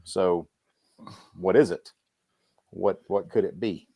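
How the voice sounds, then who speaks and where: A man's voice: sits low, steady tone, slow, vocal fry, dark tone, no room sound. A man chatting informally on a livestreamed panel podcast, with some mild swearing. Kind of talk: casual group chat on a livestream.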